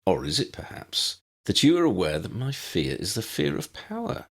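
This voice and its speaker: A man voicing an erudite, unflappable old wizard in a fantasy audiobook, measured and pedantic with a needling edge. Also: lowish voice, huge pitch swings, husky, heavily acted. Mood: calm, needling. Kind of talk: fan-fiction audiobook character voice.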